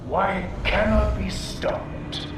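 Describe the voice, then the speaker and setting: A man's voice: high voice, fairly even intonation, slow pace, heavy background noise. A man voicing a haughty, menacing alien warrior zealot in a game, speaking in pompous, grandiose monologue. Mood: grandiose menace. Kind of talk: cinematic game villain monologue. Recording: echoing, distant, loud music behind.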